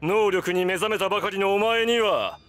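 A man voicing an arrogant, godlike vampire villain in a Japanese video game, with grandiose, drawn-out taunts and bursts of shouting. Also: high-pitched, noisy background, close to an everyday voice. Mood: haughty, condescending.

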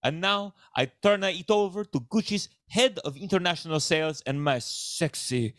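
A man playing a pompous, excitable Italian family patriarch with an exaggerated accent in a comedy table read. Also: a little high, normal speed, dry recording, clean studio sound, lightly acted. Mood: grandiose.

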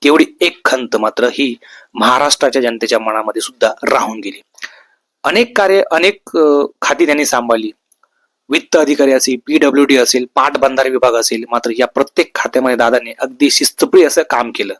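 Man, mid-pitched voice, news commentary, earnest, emphatic, repeated phrases, very dry recording. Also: a bit bright, no echo. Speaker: host of a news video channel. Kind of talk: semi-scripted news commentary, tribute to camera.

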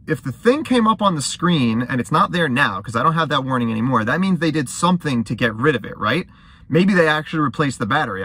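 A man's voice: medium pitch, bright, forceful tone, dry recording. A young man talking casually to camera as a vlogger, in a rambling, conversational way, sitting inside a car. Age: young adult.

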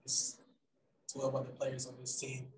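A young man answering post-game press questions in a soft, hesitant way with many pauses and ums, over a video call. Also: medium pitch, monotone, slight background noise. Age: young adult. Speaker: basketball player at press conference. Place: room in front of team backdrop. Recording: roomy video call audio.

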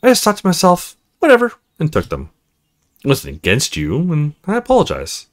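A man voicing a cool, confiding married female neighbor from a visual novel, reading her dialogue expressively and in measured phrases. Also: fairly high pitch, wildly swooping pitch, pitched above the performer's own voice. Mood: serious, explaining.

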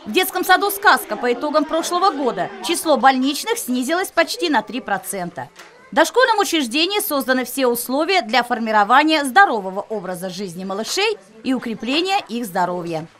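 A woman narrates a news report from a script. Her voice is high and moves up and down in lively intonation as she delivers each line as a reporter. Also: fairly quick.

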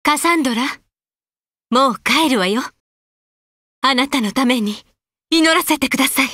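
A woman voicing a devout young female warrior in a fighting game, polite and earnest, with sharp battle cries. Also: sits high, a little slow, no room sound, clean studio sound, exaggerated voice. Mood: soft. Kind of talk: game voice lines, battle shouts.

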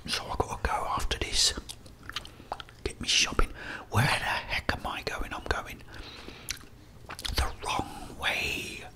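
A man's voice: slow pace, pauses often. A middle-aged man with a British accent whispers rambling, apologetic ASMR commentary while playing a game. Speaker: game streamer. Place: small indoor room.

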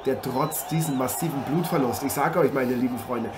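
A man's voice: medium pitch, normal speed, crowd noise, natural voice. A man playing an eager, agreeable boxing co-commentator who speaks emphatically and repeats his phrases. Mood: alarmed. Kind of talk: improvised live sports commentary. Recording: noisy ringside ambience. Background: background noise.

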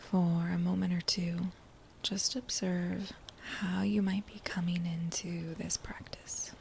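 A woman guiding a body scan meditation in a soft, breathy near-whisper, with a gentle, soothing manner and unhurried phrases. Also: fairly low pitch, level tone. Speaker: meditation guide. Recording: faint background noise.

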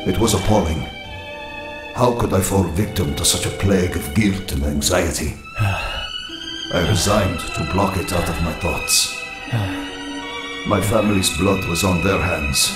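An actor playing a proud, brooding older tyrant recounting his youth in a grave, measured, haughty dramatic narration for a comic. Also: deep voice, echoey room, over loud music. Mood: brooding, haunted.

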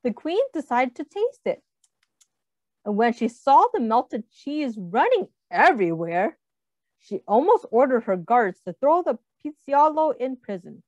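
A woman reading a picture book aloud to young children, expressively, pausing to explain and ask questions. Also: high-pitched, big pitch swings, a little mellow, no room sound, highs cut off. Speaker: read-aloud host, teacher.

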